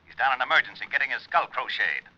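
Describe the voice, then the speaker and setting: Grumpy fast-talking American police lieutenant. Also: male voice, mid-range voice, animated, pushed and bright. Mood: sour, sardonic. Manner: sour, sardonic, slangy. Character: grumpy, weary male police homicide lieutenant. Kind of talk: 1940s radio drama acting. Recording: muffled old radio, telephone line.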